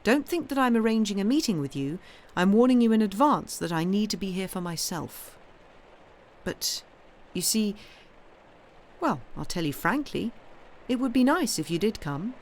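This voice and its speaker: A woman voicing a young woman in an audiobook, frank and guarded, gently teasing, with a hesitant delivery. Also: mid-range voice, lively intonation, moderate pace, lightly acted. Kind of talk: dramatised audiobook reading.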